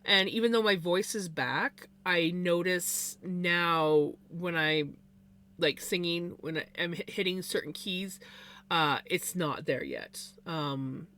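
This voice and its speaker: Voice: medium pitch, bright, forceful tone. A livestreamer chatting casually, rambling with lots of fillers and restarts. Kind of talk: casual chat on a live stream.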